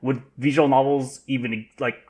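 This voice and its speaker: Man, average pitch, casual podcast talk, hesitant rambling delivery, circles back over his words. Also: level tone, darkish tone. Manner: halting, rambling, repeats himself. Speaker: podcast panelist. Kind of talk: casual chat.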